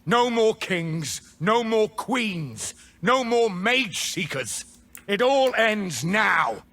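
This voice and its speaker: Casting: a bitter, rousing rebel mage for a game; slow, deliberate, intense lines with dramatic swings. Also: male voice, high-pitched, very animated, full character voice. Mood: menacing. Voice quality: intense, forceful delivery. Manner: theatrical rallying cries and taunts. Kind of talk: video game character voice lines.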